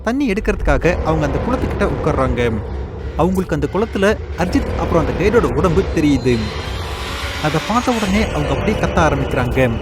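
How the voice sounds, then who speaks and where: A man narrating a spooky cartoon story in an animated, storytelling voice, over loud background music. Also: on the high side. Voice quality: lively storytelling.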